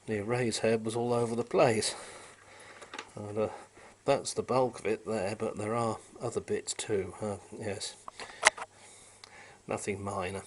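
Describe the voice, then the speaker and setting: A man's voice: on the low side, level tone, measured pace. A British man casually talking through a repair, unscripted, in a rambling, wryly understated way. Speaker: hobbyist repairer and presenter. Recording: noisy amateur camera sound.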